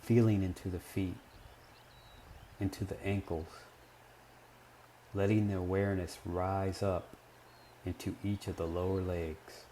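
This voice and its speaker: Deep, mellow man guiding meditation. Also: little pitch movement, slight background noise. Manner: gentle, measured, with long pauses between short phrases. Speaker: meditation guide. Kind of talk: guided meditation narration.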